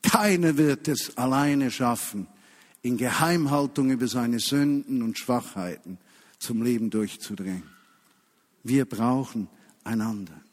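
A man preaching a sermon to a congregation, emphatic, stretching key phrases and dropping to a hush. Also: mid-range voice. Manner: emphatic, with slow drawn-out key phrases and hushed drops. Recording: long hall reverb.

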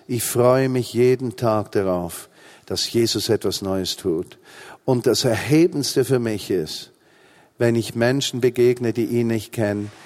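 A man's voice: medium pitch, a little mellow. A Swiss man in his forties with a Swiss German accent, preaching a humorous sermon with emphatic repetition. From Switzerland. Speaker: pastor.

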